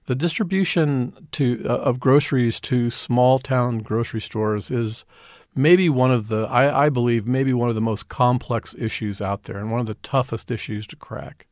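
A man's voice: medium pitch, normal speed, darkish tone, no room sound, clean studio sound. A middle-aged man answering radio interview questions earnestly, often repeating and restarting words. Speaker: community development director, guest.

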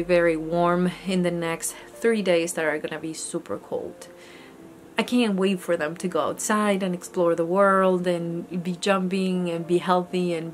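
A young woman talking casually to the camera in an indoor room, chatty and earnest, often restarting her sentences. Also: lowish voice. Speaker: homesteading vlogger. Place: indoor room with goat pen, daytime. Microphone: camera close in front.

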